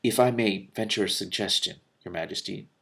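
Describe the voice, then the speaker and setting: A man's voice: lowish voice, a little fast, vocal fry, pushed and bright, voice pushed down. A man voicing a courteous male elf steward in a storybook, formal and eager to serve. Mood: deferential, formal.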